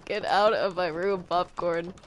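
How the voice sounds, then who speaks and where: A woman's voice: fairly low pitch, steady tone, a little slow, a little hiss or hum. A woman chatting casually in improvised roleplay while playing a game. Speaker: player in a Minecraft roleplay. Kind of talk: casual improvised roleplay chat during gaming.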